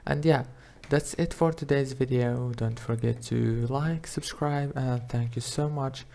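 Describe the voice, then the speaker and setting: A man hosting a software tutorial, narrating simple instructions step by step. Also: medium pitch, steady tone, unhurried, creaky. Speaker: tutorial host.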